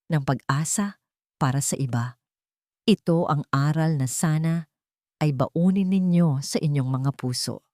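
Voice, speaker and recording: Female Filipino storyteller, low-pitched, earnest and sentimental, reading dramatic narration in a very dry close recording. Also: a little mellow, no echo. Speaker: story narrator and channel host.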